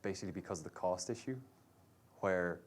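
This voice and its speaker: A man's voice: sits low, brisk. A man, a VR developer, speaking casually on a panel in a chatty way, full of "sort of" and "like". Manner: chatty, many fillers like "sort of" and "like".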